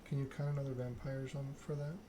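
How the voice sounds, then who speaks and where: A man's voice: mid-range voice, level tone, quick pace, background noise. A man doing an ASMR read-aloud of a narrative game, occasionally stumbling over the written text. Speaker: gaming channel host.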